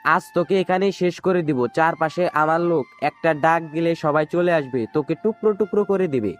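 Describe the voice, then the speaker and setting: A man's voice: fairly high pitch, moderate pace, soft background music, lightly acted. A man playing a menacing, mocking gangster villain in an audio story, taunting and threatening as he speaks. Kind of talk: dramatized audio story dialogue.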